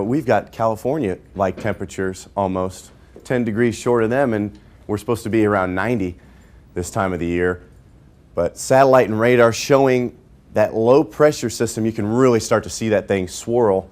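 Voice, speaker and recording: A man presenting a TV weather forecast off the cuff, somewhat halting as he reworks his sentences. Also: fairly low pitch. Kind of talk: unscripted weather presentation, live aircheck.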